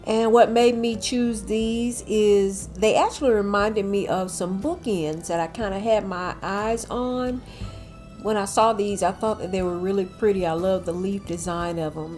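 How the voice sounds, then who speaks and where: A woman's voice: mid-range voice, a little mellow. Older woman, cold-nasal, chatty decor vlogger voice-over, faint music bed. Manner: chatty, addresses viewers as y'all. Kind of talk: casual voice-over narration.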